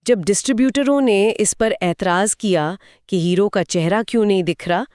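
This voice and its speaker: A woman's voice: medium pitch, normal speed, a little mellow, no echo, clean studio sound. A woman giving explanatory, conversational film commentary in an earnest storytelling way. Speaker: podcast co-host.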